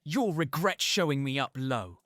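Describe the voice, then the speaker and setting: Man playing arrogant schoolboy, highish voice, swooping intonation, jealous, taunting, audio drama. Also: expressive, normal speed, fairly bright tone. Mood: sneering. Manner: haughty, taunting. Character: arrogant, jealous male schoolboy rival, sneering. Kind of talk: fan audio drama acting.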